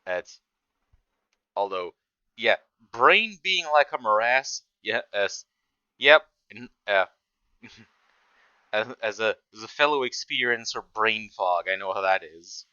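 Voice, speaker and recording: A man with a low, bright voice reacts casually. He speaks haltingly, doubling back on his words and trailing off. The sound is dry and a little dull. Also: expressive, smooth, no room sound. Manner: halting, trails off and repeats himself.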